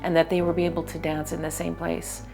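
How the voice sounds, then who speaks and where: A middle-aged woman from Canada, a mother and former dancer, recalling the past in a measured, reflective way. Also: fairly low pitch, monotone, gravelly, over music, rough recording. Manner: measured, reflective, a few fillers. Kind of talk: interview reminiscence.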